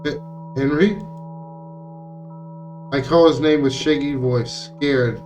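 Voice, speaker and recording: A man's voice: mid-range voice, fairly even intonation, unhurried, a little mellow, far from the mic. A man reading visual novel text aloud as dramatic narration, now and then stumbling over words and rereading them. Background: music.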